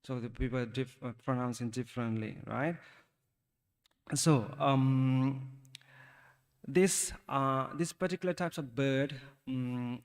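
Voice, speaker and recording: A man with a Sri Lankan accent, a Buddhist monk giving a talk to young listeners, speaking haltingly and asking them questions. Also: medium pitch, in a large hall. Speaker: Buddhist monk teaching youth. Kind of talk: Dhamma talk for children.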